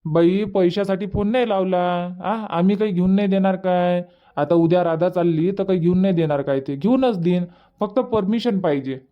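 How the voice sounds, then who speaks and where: A man's voice: high voice, normal speed, highs cut off, close to an everyday voice. A man voicing an easygoing young village son in a cartoon comedy, chatty and colloquial, with a rural Vidarbha Marathi accent. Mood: casual, reassuring.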